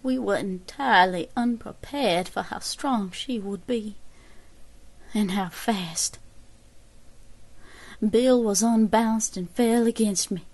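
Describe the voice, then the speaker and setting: An actress playing a grave 1870s American woman survivor, giving a measured, formal account in an audio drama. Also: medium pitch, a little mellow. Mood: grave, hushed. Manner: measured, formal old-fashioned storytelling.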